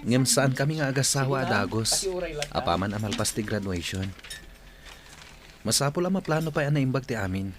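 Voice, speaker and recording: A man's voice: medium pitch, animated, moderate pace, long pauses, a crowd in the background, natural voice. A man acting the part of an earnest, loving young boyfriend in a radio drama.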